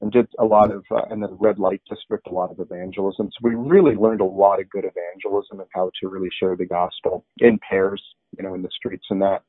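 A middle-aged man tells his story in an earnest, rambling way, often restarting his sentences. His voice sits on the low side and sounds dry and close. The sound is narrow and tinny, like a phone line. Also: little pitch movement, brisk, husky, no room sound. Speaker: interview guest. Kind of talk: conversational testimony.

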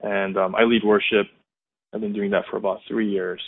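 A man in his thirties with an American accent and a fairly low voice, heard over a thin, phone-like line. He shares a personal testimony conversationally, pausing to reflect as he goes. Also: flat delivery, husky. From United States, California and Indiana. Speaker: interview guest giving testimony. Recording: muffled, narrow phone-like line.

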